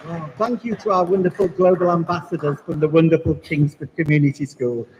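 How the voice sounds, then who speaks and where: A man's voice: fairly high pitch, steady tone, a little fast, heavy background noise. Effusive man hosting in a hall. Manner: effusive, warm introductions. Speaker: event host. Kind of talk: formal event hosting speech. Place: large hall. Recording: hall reverb.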